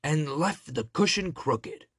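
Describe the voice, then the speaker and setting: A storyteller voicing a great big gruff father bear from a children's storybook, with a growling delivery. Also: medium pitch, expressive, takes it slowly, fairly bright tone, dry recording, clean studio sound, voice pushed up, heavily acted. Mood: indignant.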